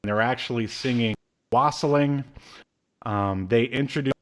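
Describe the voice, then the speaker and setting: A man in his thirties chats as a podcast co-host. His voice sits at an average pitch. He recaps in a halting, casual way, piece by piece. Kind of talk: casual recap and review chat. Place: small indoor room, video call.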